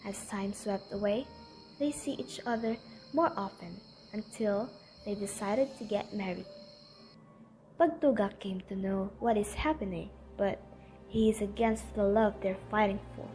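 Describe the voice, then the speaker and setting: A woman's voice: mid-range voice, unhurried, a little mellow, low-quality recording. Woman narrating a legend over music. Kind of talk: scripted storytelling narration for an amateur radio drama.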